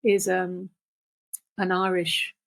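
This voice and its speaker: An older woman, a podcast guest, talking reflectively and earnestly in deliberate phrases. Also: lowish voice, monotone, vocal fry, fairly bright tone. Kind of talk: reflective conversational talk.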